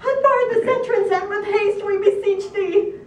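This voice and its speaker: A woman's voice: high-pitched, animated, moderate pace, darkish tone, voice pushed up. A woman voicing a fussy, panicky little pig with a squealing voice and florid, old-fashioned, over-formal speech, as in a comic storybook. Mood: panicked. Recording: roomy echo, distant mic, faint noise.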